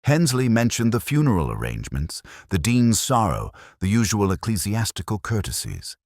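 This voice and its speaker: A man voicing an ageing, melancholy detective, narrating a literary radio drama in a measured, reflective, solemn manner. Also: rumbling low, no room sound, studio quality, heavily acted. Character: ageing, melancholy male detective, wry and brooding.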